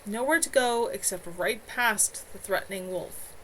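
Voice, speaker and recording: A woman reading her own novel aloud in an expressive amateur storytelling style. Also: medium pitch, unhurried. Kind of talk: live amateur audiobook-style narration. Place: at home. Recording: clearly noisy home livestream recording.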